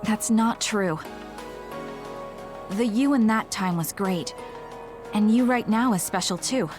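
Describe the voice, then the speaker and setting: An actress playing an earnest, composed young psychic soldier in a video game, speaking in a measured, serious way. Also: female voice, medium pitch, music in the background, close to an everyday voice. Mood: sincere, caring. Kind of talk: English video game dub acting.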